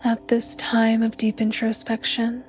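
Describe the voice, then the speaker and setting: A woman guiding a yoga nidra meditation in a soft, breathy, soothing and unhurried voice. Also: a little high, monotone, soft background music. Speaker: meditation guide.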